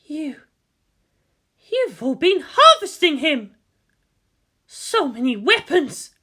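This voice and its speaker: A man's voice: very high-pitched, sing-song, takes it slowly, sharp tone, voice pushed up, exaggerated voice. A man voicing an anguished, outraged woman military commander in a dramatic story reading. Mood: horrified.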